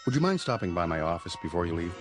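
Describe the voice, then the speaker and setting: An actor playing a fatherly sanitarium doctor, measured and reassuring, in a radio drama. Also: low voice, very animated, over music.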